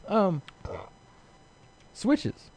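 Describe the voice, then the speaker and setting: A man's voice: very slow, darkish tone. A man chatting casually about video games as a co-host, blunt, profane and prone to wandering off on tangents.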